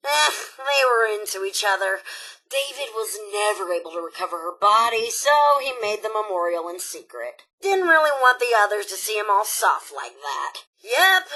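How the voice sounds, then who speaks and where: A woman's voice: mid-range voice, sharp tone, breathy edge, exaggerated voice, low-quality recording. An actress playing a sassy, cutthroat young female robot raider in a video game, sarcastic, flippant and theatrical, teasing as she talks. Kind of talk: video game character dialogue.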